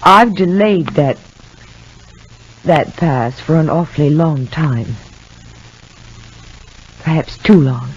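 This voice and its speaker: A woman acting a troubled, earnest married sister and mother in a 1950s radio soap opera, speaking in a measured way. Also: low-pitched, slightly rough, full character voice. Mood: wistful. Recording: muffled 1950 radio drama recording.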